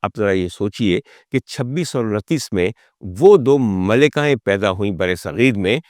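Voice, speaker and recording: A man hosting a talk, telling stories dramatically and rhetorically, with deliberate build-ups and repeated phrases. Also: lowish voice, expressive, a little mellow, no echo, studio quality. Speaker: host and storyteller of a music history talk. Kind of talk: dramatic spoken storytelling to the audience.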